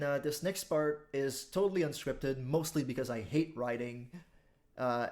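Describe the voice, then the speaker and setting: A man's voice: medium pitch, darkish tone. A man in his twenties from Quebec with a Quebec French accent, a native French speaker delivering a casual, partly scripted monologue with frequent fillers. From Quebec, Canada. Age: 20s. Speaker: YouTuber.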